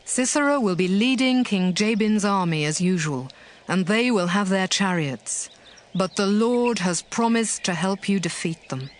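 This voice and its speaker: A man's voice: high voice, unhurried, voice pushed up. A man voicing a commanding Israelite woman prophet in a dramatized scripture reading, speaking in a solemn, declaring way. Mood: solemn, firm.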